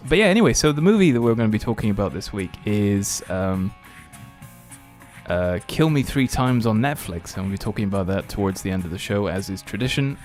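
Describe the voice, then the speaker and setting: Low-voiced man casually hosting a podcast. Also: darkish tone. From born and partly raised in Austria. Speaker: podcast host. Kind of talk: casual chat on an entertainment show.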